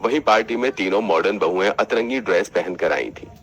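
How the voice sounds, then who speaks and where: A man's voice: fairly low pitch, a little fast, a bit bright. A man delivering scripted story narration for a cartoon family tale.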